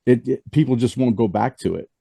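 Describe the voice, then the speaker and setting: Guy hosting a music chat, voice going after the flu, kinda gravelly and mellow, rambles and restarts a lot. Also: medium pitch, brisk, dry recording, studio quality. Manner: rambling, with frequent restarts and fillers. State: losing his voice after the flu. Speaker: host. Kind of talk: casual music review chat.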